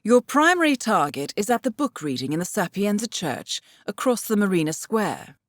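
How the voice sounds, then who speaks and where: An actress playing a composed, coolly professional intelligence handler who guides an assassin in a video game, measured and dryly wry. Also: female voice, mid-range voice, sing-song, no room sound, clean studio sound, natural voice. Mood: composed, businesslike. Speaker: mission handler. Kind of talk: scripted video game mission briefing.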